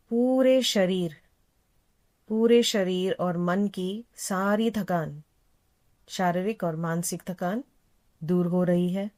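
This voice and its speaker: A woman yoga instructor leading a guided meditation in a calm, unhurried, soothing manner, repeating phrases gently. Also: lowish voice.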